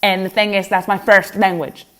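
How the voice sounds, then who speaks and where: A woman's voice: medium pitch, little pitch movement, moderate pace, fairly bright tone, poor audio. A young woman whose first language is Spanish, chatting casually to camera in a vlog, teasing herself and laughing often. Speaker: vlogger.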